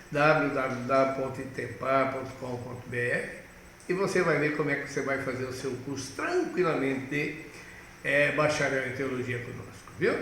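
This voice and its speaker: A man's voice: medium pitch, bright, forceful tone. A middle-aged man, a pastor and course director, teaching an online course lesson in a conversational way that talks straight to the viewer. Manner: conversational, addresses the viewer directly, acts out student questions. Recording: echoey room, faint background hiss.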